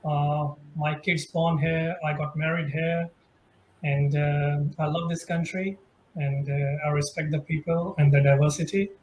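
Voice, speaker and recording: A man from India, speaks in an earnest, flat tone with many uhs. His voice is fairly high and barely moves in pitch. He comes through a video call, and the room around him echoes. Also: measured pace, a little hiss or hum. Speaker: new citizen.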